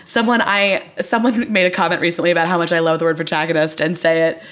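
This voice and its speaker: A woman's voice: lowish voice, steady tone, fast talker, fairly bright tone. A woman hosting a podcast, chatting casually about television in an enthusiastic, emphatic way. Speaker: podcast host. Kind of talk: casual TV discussion chat.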